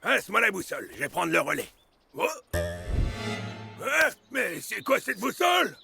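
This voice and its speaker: An actor playing a blustering old retired general and grandfather in a cartoon, gravelly and bossy, talking like a drill instructor. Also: high voice, very animated, moderate pace, over music, exaggerated voice. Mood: gruff, commanding. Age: older. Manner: bossy, military, drilling out rules.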